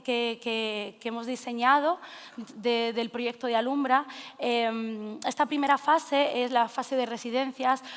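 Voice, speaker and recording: Higher-voiced Spanish woman in a reverberant hall. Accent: Spanish from Spain. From Castilla-La Mancha, Spain. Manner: conversational, halting, frequent tag questions. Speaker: speaker presenting a cultural project. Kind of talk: informal conference talk. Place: forum hall. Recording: echoey hall with long reverb.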